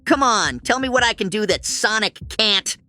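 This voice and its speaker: A boastful young bird thief, voiced by a woman, sneering and sure of himself. The voice is bright and lively over music. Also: medium pitch, normal speed. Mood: cocky. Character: cocky young male bird thief gang leader.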